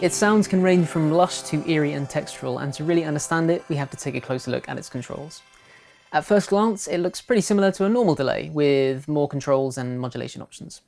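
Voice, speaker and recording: A woman presenting a software tutorial in scripted narration. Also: low-pitched.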